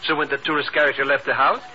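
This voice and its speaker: An actor playing a sly, chummy, ingratiating rogue in a 1950s radio spy drama. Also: male voice, medium pitch, fairly even intonation, fast talker, noisy background, natural voice. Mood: gleefully sly. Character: sly, chummy male rogue tailing a secret agent. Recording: muffled old radio recording.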